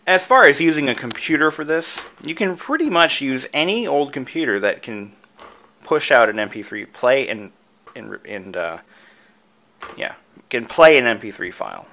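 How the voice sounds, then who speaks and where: A man's voice: mid-range voice, sharp tone. A man presenting a hobby tutorial, casually talking through each step with enthusiasm.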